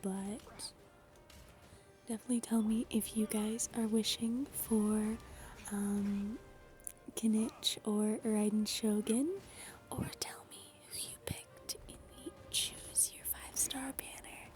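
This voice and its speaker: A young woman talks in a hushed whisper, rambling gently with frequent ums. Her voice is mellow and a little raspy from a dry throat. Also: mid-range voice, fairly even intonation, slow, faint music behind. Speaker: gaming YouTuber. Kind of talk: soft-spoken whispered gameplay chat.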